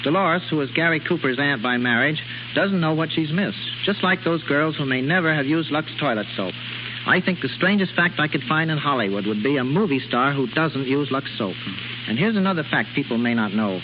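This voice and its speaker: American male radio reporter, scripted 1930s delivery, higher voice, clearly noisy vintage recording. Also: lively intonation, a little fast, lo-fi sound.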